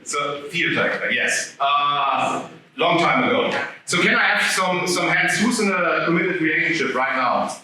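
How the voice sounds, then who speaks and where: A man giving an animated live talk to an audience, turning to them with questions. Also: a little high, measured pace, slight background noise. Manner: animated, addresses the audience directly. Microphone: no microphone, picked up from afar. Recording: echoey room, voice from a distance.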